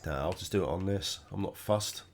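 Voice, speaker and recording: A man with a cold, sounding croaky and stuffed up, chatting casually through his own racing gameplay. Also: low-pitched, fairly quick, a little hiss or hum. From United Kingdom. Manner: relaxed, chatty, thinking aloud while driving. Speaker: gaming channel host.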